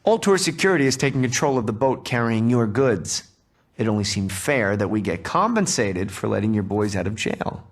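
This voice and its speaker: An actor playing a smug, cold corporate executive, condescending and controlled, in a video game cutscene. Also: male voice, lowish voice, lively intonation, moderate pace. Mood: smug, taunting.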